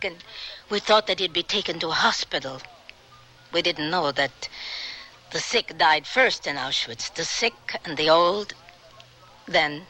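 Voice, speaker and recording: Solemn, grieving older woman, clear bright edge. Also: on the low side, rough recording. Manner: measured, halting, solemn. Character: grieving older woman, Holocaust survivor, dignified and resolute. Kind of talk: dramatic radio drama acting.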